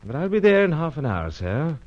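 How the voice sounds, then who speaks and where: Posh old-school british spy in a radio adventure, dry and clipped, pitch moves around a lot. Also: male voice, big pitch swings, a little fast, lo-fi sound. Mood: evasive, hesitant. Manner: clipped, old-fashioned gentlemanly speech. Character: gentlemanly British secret agent, cool and dryly understated. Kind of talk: old-time radio adventure serial acting and narration.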